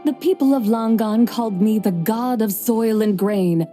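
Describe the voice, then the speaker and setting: An actress voicing a proud ancient horse spirit who is a goddess of farming in a video game, speaking in a lofty, formal, scolding way. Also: female voice, on the high side, unhurried, mellow, lightly acted. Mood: haughty. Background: game soundtrack music.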